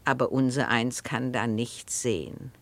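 An actress playing a calm, musing knitter who probes gently and insistently, speaking deliberately and lyrically in a literary radio drama. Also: female voice, rumbling low. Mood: musing, dreamy. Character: calm, musing, probing listener absorbed in knitting.

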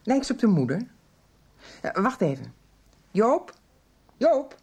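An actress playing a gossipy, sharp-tongued middle-aged mother in a radio drama, exclaiming and judgmental. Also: on the high side, very animated, slow, slightly rough, full character voice. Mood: teasing, prying.

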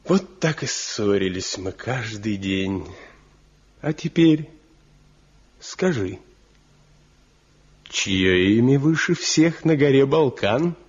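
Husky, proud village craftsman. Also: medium pitch, lively intonation, slow pace, long pauses. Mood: proud, declamatory. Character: proud Bulgarian mountain village woodcarving master, stubborn husband. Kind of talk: Soviet radio drama acting. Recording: muffled 1970 radio play recording.

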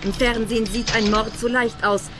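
An actress playing a bitter, blunt widowed gas station boss and cold killer in a radio play. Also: female voice, fairly high pitch, fairly even intonation, moderate pace, close to an everyday voice. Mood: bitter, resigned. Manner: blunt, dry, defiant.

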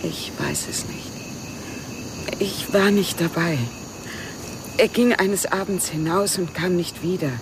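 Intense, low-voiced woman in radio drama. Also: steady tone, takes it slowly, pauses often, background noise. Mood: subdued. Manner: dramatic, breathy whispers rising to anguished outcries. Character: Janet, intense, clinging widowed lover, wounded and pleading. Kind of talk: German radio crime drama acting, 1989.

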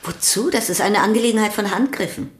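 A sceptical, protective sister played by a woman in a radio play. She speaks at a middle pitch with formal, pointed briskness, and the room echoes around her. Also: lightly acted. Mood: sceptical, brisk. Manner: pointed, formal, crisp questioning.

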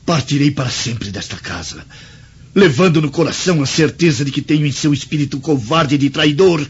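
An actor with a Brazilian accent playing a jealous, proud Roman brother in a declamatory radio drama style. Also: male voice, a little high, lively intonation, fairly quick, breathy edge. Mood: bitter, accusing. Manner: declamatory, theatrical delivery. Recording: muffled radio drama with music.

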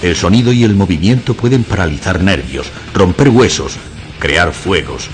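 Deep-voiced man over guitar music. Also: expressive, normal speed. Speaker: radio show announcer. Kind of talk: scripted dramatic radio intro.

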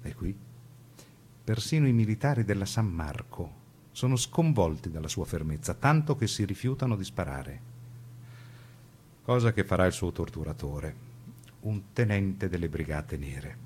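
Low-voiced man, grave radio narrator. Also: lively intonation, a little mellow, a little hiss or hum. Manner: grave, deliberate, theatrical phrasing. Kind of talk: scripted dramatic documentary narration for radio.

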